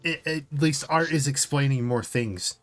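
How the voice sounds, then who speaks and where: A man with a mid-pitched voice chats casually as a podcast co-host. He sounds informal and opinionated, with music faintly behind him. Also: a little slow, a bit bright. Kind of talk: casual chat reacting to a video.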